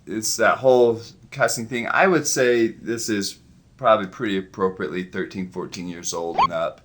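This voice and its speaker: A man's voice: fairly low pitch, moderate pace, a little hiss or hum. A man co-hosting a family movie review, chatting casually and chuckling as he plays along with jokes. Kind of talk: casual joking chat.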